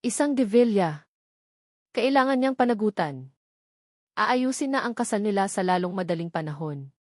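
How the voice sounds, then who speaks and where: A cold, moneyed matriarch, as a female narrator puts her on in a romance audio story. She speaks calmly but sharply, full of contempt, in a dry recording. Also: medium pitch, moderate pace, no room sound, natural voice. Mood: stern. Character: cold, haughty, wealthy older mother, calmly cutting.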